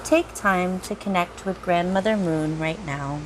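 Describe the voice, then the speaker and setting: A woman leading a guided meditation in a gentle, unhurried manner, leaving long pauses between phrases. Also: on the low side, noisy background. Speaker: meditation guide, reiki teacher. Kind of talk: guided meditation, slow soothing narration.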